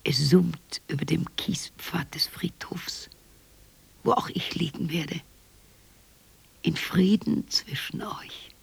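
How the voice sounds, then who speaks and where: A woman playing a very old, wistful visionary in a radio drama monologue, husky and gravelly, speaking in incantatory, repeated fragments. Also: lowish voice, slow pace, pauses often, no echo, in a whisper, full character voice. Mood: wistful, solemn. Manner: incantatory, fragmented phrases with repetitions.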